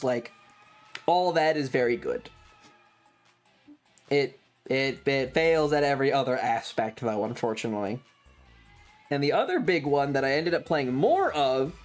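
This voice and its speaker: A video game streamer chatting casually in a rambling, blunt way that is peppered with swearing. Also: mid-range voice. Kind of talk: casual livestream commentary.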